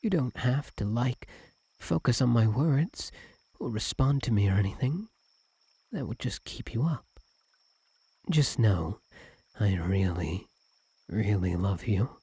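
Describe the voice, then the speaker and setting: A man playing a possessive, doting yandere fox-spirit husband in an intimate ASMR roleplay, breathy, tender and clingy with a sweetly menacing edge. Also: fairly low pitch, lively intonation, slow, long pauses, mellow, no room sound, exaggerated voice. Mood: tender, adoring. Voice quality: breathy, husky, at times whispered. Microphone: close, dry microphone.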